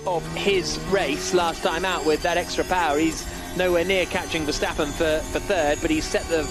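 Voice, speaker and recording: A man's voice: on the high side, steady tone, moderate pace, music in the background. A man giving live motor racing commentary as the lead commentator, calling the action fluently and emphatically.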